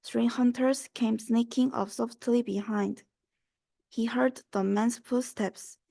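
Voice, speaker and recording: A woman's voice: a little high, steady tone, slow pace, gravelly, warm tone, no echo. A young woman reading a children's rhyming story aloud. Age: young adult. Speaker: student reader.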